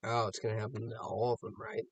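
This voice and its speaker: A man's voice: lowish voice, fairly even intonation, fast talker, creaky, a little hiss or hum. A man giving casual, off-the-cuff reaction commentary, musing aloud and posing rhetorical questions. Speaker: reaction video host.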